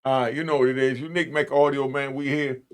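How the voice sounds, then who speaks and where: A man's voice: mid-range voice, little pitch movement, fast talker, clean tone. A man hosting a reaction show, talking casually and emphatically in informal slang. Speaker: host of an online commentary channel.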